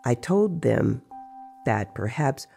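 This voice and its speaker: A narrator telling a dramatized story in a measured, deliberate way with pauses. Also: mid-range voice, very animated, creaky, warm tone. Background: music.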